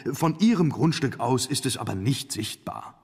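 An actor playing a polite, deferential young English solicitor in a German radio drama, speaking in a businesslike way. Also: male voice, mid-range voice, sing-song, normal speed, vocal fry, darkish tone, breathy edge, exaggerated voice. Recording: long reverberant hall.

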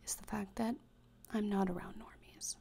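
A young woman playing a gloomy, morbid gothic teenage schoolgirl in a whispered ASMR roleplay, deadpan, deliberate and disdainful, close to the microphone. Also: mid-range voice, gravelly, a little hiss or hum, natural voice. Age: young adult. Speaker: ASMR creator. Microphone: close desktop microphone, seen.

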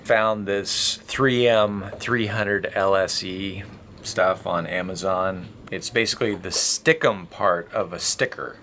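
A man's voice: deep voice, fairly even intonation, slow, sharp tone, slight background noise. A middle-aged man on a sailboat explaining a repair in a casual, matter-of-fact way. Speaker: sailing vlogger. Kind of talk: casual vlog narration.

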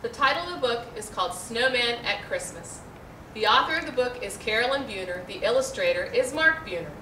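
A middle-aged American woman reads a rhyming children's picture book aloud in an expressive, carefully enunciated way. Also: on the high side, fairly quick. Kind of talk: children's storybook read-aloud. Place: indoor room, seated before a backdrop. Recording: roomy, distant mic, faint noise.

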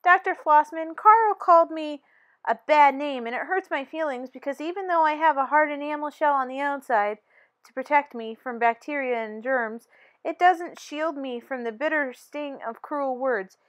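A woman's voice: high voice, moderate pace, a bit bright. A woman voicing a sensitive little molar pupil who complains plaintively in a storybook. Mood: wounded.

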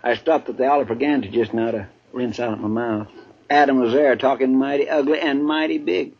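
An actor playing a loyal, folksy deputy in a 1950s radio western. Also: male voice, mid-range voice, steady tone, fairly quick, slightly rough. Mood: earnest. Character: folksy, loyal marshal's deputy in the Old West. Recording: muffled 1950s radio recording.

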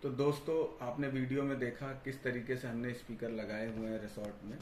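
A man's voice: medium pitch, flat delivery, fairly quick, a little mellow. A man, explaining a product informally and step by step to camera. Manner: explanatory, repeats "you see" and "I'll show you". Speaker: product reviewer and audio installer. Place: indoor tiled storeroom with boxes. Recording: echoey room, background noise.

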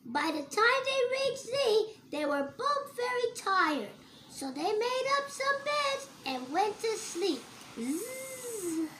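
A child reading a picture book aloud in a slow sing-song way, letter by letter. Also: very high voice, big pitch swings, sharp tone, slight background noise.

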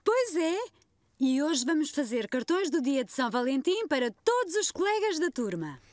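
An actress with a European Portuguese accent voicing an encouraging young preschool teacher in a children's cartoon. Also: female voice, high-pitched, sing-song, moderate pace, no echo, studio quality.

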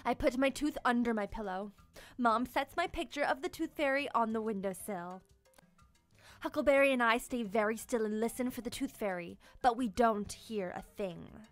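High-voiced woman reading to young children. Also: normal speed. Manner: expressive, playful, enunciating for small children. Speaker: storyteller and children's channel host. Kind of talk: animated children's book read-aloud. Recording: music behind the voice. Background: music.

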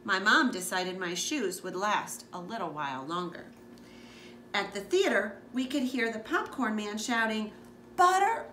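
Animated woman reading a picture book. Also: medium pitch, a little slow. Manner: expressive, with asides to listeners. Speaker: school librarian reading aloud. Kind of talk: animated children's picture-book read-aloud. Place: living room, on a couch indoors. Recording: echoey room with background noise.

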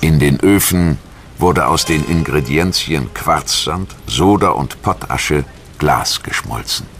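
A man reading a scripted history documentary narration in a measured, articulate storytelling manner. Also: extremely low pitch. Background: music.

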